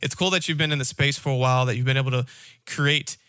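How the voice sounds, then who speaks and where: A man's voice: mid-range voice, fairly even intonation, quick pace, fairly bright tone, clean tone. A man hosting a podcast interview, casual, upbeat and encouraging with his guest. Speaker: podcast host.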